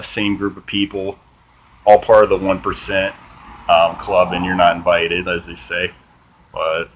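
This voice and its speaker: A man hosting a small political commentary channel, reading from an article and chatting casually, with a flat monotone delivery and lots of ums and uhs. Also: low-pitched, rough recording. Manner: swears casually, says "man" often.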